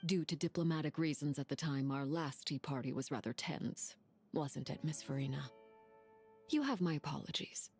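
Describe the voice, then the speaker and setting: A woman playing a composed, high-ranking lady in an anime-style game, polite, measured and formal. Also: lively intonation, a little mellow, soft background music. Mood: gracious, composed. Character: poised, courteous, composed woman of high rank.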